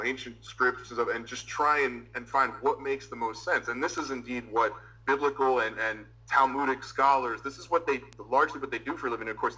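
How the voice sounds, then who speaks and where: A man in a casual discussion who explains ideas at length in a halting way, with many fillers. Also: mid-range voice, bright, forceful tone, slightly rough, low-quality recording.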